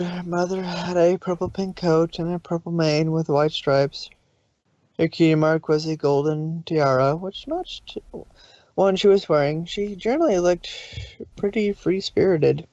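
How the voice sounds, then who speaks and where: Woman narrator, medium pitch, expressive story reading, close dry sound, slightly dull audio. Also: measured pace, dry recording. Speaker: fan fiction narrator. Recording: slightly dull home recording.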